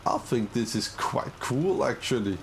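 Upbeat, slow-talking gamer man. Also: mid-range voice, creaky, slight background noise. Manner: upbeat, easily excited, many fillers. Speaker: gaming channel host. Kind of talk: casual let's-play commentary.

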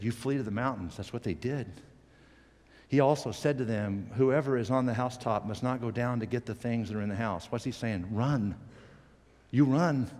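Middle-aged pastor preaching, gets loud then drops low, headset mic, long hall echo. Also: male voice. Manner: animated, gestures broadly, swings from loud emphatic peaks to quiet low asides. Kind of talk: sermon. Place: church stage, indoors.

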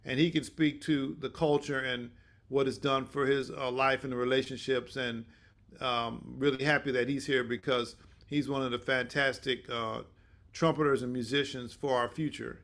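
An older man hosting an online session informally, speaking haltingly with many restarts. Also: mid-range voice, steady tone, fairly bright tone. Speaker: host and mentor. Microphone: video call.

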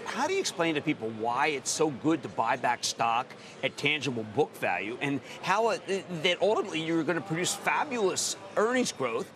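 A man hosting a live market show, pressing a question emphatically, his pitch swinging widely as he builds. Behind him, a crowd murmurs clearly on the exchange floor. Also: moderate pace, few pauses. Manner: emphatic, rising to animated questions. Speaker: TV market commentator. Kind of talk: live TV business interview. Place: TV studio on a stock exchange floor.

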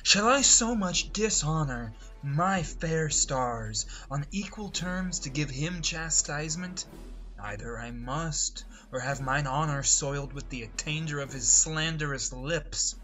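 A man's voice: a little high, a little slow, pushed and bright, faint music behind, rough recording. An actor playing a haughty, indignant young nobleman, scornful and declamatory in a Shakespearean reading. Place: indoors, remote video call.

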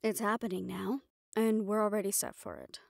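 A woman's voice: medium pitch, lively intonation, normal speed, creaky, darkish tone, no room sound. A woman playing a sardonic, capable personal assistant to a mafia boss in an intimate audio roleplay, speaking in a dry, familiar, businesslike way. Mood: dry, confident. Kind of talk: intimate scripted audio roleplay, one-sided dialogue.

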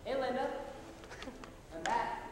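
A man's voice: very high-pitched, animated, takes it slowly, far from the mic, heavily acted. Naive, eager husband, acted by a young man, in an echoey theatre. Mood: proudly eager. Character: naive, eager, needy husband and hapless chancellor. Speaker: stage actor. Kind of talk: stage comedy acting. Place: theatre stage with audience. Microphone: distant audience camera. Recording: very echoey, noisy theatre recorded from afar.

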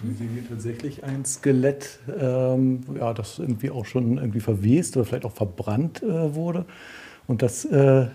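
A man with a gravelly, husky and dark-toned voice works as an on-location reporter. He describes exhibits in careful, somewhat hesitant explanatory phrases. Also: medium pitch, moderate pace. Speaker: reporter and author. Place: inside a museum, on location.

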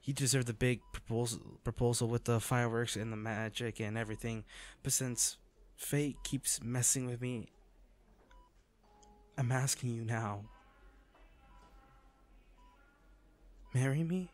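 A man playing an injured, devoted boyfriend in an intimate audio roleplay, speaking tenderly, earnestly and haltingly. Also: medium pitch, fairly even intonation, lightly acted. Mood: earnest, pleading. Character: injured, devoted boyfriend proposing from a hospital bed.

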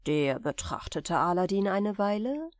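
A woman reads a fairy tale aloud as an audiobook narrator, in bedtime storytelling style. Her pace is slow and measured, her pitch sits in the middle. The recording is close and dry. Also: big pitch swings, no echo.

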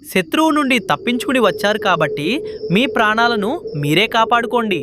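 A man's voice: high-pitched, sing-song, fairly quick, background noise. A man voicing a callous, self-protective crow in a children's cartoon, curt and dismissive.

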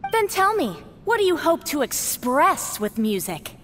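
Reverberant, exuberant giant talking flower. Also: female voice, high-pitched, big pitch swings, unhurried, fairly bright tone, heavily acted. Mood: grand, welcoming. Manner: theatrical, effusive. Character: exuberant giant talking flower, musical hall gatekeeper, theatrical. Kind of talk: video game voice acting. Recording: long reverberant hall effect, faint noise.

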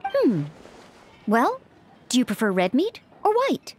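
High-voiced earnest young maid knight. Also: female voice, wildly swooping pitch, takes it slowly, a little mellow, a little hiss or hum, exaggerated voice. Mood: helpful, gracious. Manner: courteous, attentive, formal. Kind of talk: scripted fantasy game voice acting.

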